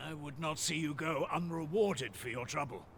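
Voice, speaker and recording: High-voiced, courteous young fantasy villager man acting. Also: normal speed, heavy background noise, close to an everyday voice. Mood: relieved, grateful. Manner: courteous, archaic.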